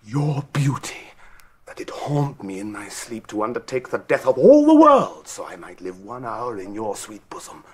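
A man's voice: medium pitch, sing-song, normal speed, close to an everyday voice. An actor playing a scheming, silver-tongued nobleman who fervently woos a widow in theatrical Shakespearean verse. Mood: soft, seductive. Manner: theatrical, declamatory, insinuating. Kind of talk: classical Shakespearean stage-style verse acting.

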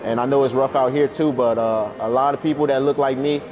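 A man's voice: mid-range voice, fairly even intonation, a little fast, lo-fi sound. A man speaking earnestly and steadily in a documentary interview.

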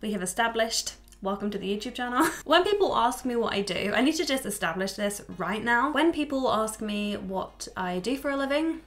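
A woman's voice: fairly high pitch, expressive, fairly quick, few pauses. A woman in her twenties chatting to camera, candid and swearing freely, a little stuffy from a cold, in a small room at home. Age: 20s. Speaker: YouTuber. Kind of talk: casual chat to camera.

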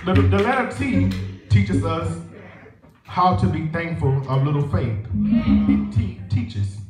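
A young man with a Southern accent preaches in a rhythmic, building call-and-response style. His voice sits a little high. He is picked up from the pulpit mic and a distant camera, so the church echo and organ come through clearly. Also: very animated, takes it slowly, darkish tone. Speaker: minister preaching a sermonette. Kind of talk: sermon with prayer. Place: church sanctuary. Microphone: stand mic at pulpit, filmed by far camera. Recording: echoey church from far off. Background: organ music.

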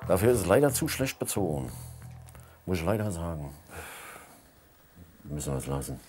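A man in his fifties with a low voice talks business in a furnished room. He is matter-of-fact and firm, negotiating as a dealer. Music is clearly audible behind him. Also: very animated, normal speed. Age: 50s. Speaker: second-hand furniture dealer. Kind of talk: casual price negotiation. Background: background music.

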